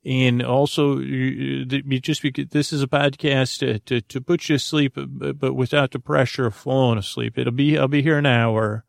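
A man with a gravelly, creaky voice hosting a sleep podcast, rambling in a halting, goofy, improvised bedtime monologue that wanders into tangents. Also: medium pitch, level tone, dry recording, studio quality.

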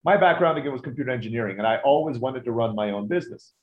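A man in his forties who grew up in Turkey, talking briskly as an interview guest. His tone is easygoing and self-deprecating, with plenty of casual asides. The line is echoey and a little dull. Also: mid-range voice, expressive. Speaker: guest, business owner.

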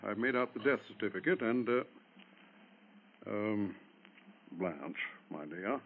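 A man's voice: fairly low pitch, expressive, slow pace, full character voice. A male actor playing a courteous, paternal American small-town doctor in a mid-century radio drama, reassuring and a little hesitant. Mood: gentle, paternal. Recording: tinny, narrow-band old radio recording.

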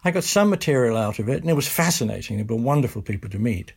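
An older man from Britain, a historian and author, answering interview questions in a measured, thoughtful way with some restarts. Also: medium pitch, lively intonation, gravelly, breathy edge.

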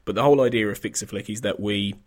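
A man's voice: fairly low pitch, fast. A man hosting a film podcast, chatting casually with a slightly sniffly voice from an oncoming cold and often restarting his sentences. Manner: rambling, self-correcting, chatty. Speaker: podcast host.

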